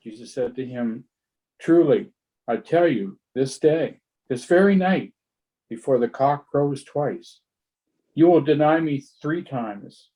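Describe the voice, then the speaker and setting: A man with a dark, mellow voice of middle pitch reads scripture aloud. The delivery is scripted narration from a lay church reader. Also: expressive, slow, slightly rough, rough recording. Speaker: church member reading scripture.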